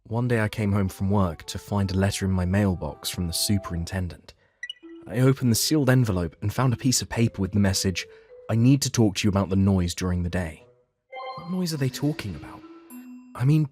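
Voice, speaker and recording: An earnest young office worker tells his own story in a manga dub voiced by a man. His voice is low, with an anxious edge to the narration. Soft music plays behind him. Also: expressive, a little fast, natural voice. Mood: uneasy, bewildered.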